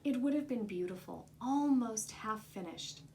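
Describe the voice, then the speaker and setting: A woman voicing a frail, loving elderly grandmother in a storybook, with gentle, folksy phrasing. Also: fairly high pitch, lively intonation, unhurried, a little hiss or hum. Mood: wistful.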